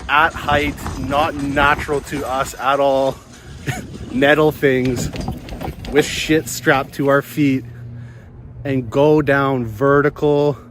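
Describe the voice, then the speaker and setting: Casting: male vlogger, medium-pitched, easygoing and slangy, talking off the cuff outdoors over noticeable wind noise. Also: level tone, slow. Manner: slangy, laid-back rambling. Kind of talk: casual outdoor vlog chat. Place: outdoors at a ski area. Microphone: handheld or worn action camera.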